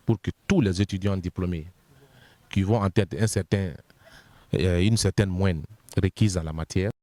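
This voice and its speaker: Deep-voiced Guinean man explaining methodically. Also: dark tone, no echo. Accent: Guinean French. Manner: methodical, lists points one by one, repeats words while searching. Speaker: member of the constitutional body. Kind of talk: explanatory interview answers.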